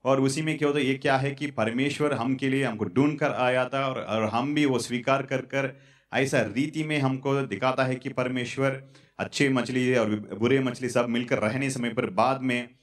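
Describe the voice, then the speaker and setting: A man in his thirties preaching a sermon on a church stage into a handheld mic, halting and repetitive. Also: mid-range voice, steady tone. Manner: halting, repetitive, fillers and restarts. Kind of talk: sermon with prayer. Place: church stage in front of curtains.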